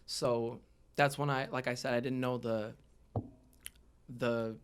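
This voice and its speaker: A man's voice: medium pitch, steady tone, slow, a little hiss or hum. A man from the Los Angeles area telling his own story as a podcast guest in a casual, slangy way. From Los Angeles area, United States. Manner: informal, slangy. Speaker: podcast guest, hip-hop artist.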